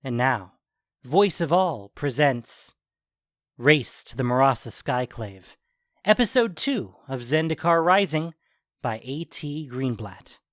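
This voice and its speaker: A man narrating a scripted fantasy story dramatically, with expressive, deliberate phrasing. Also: mid-range voice, dry recording, clean studio sound.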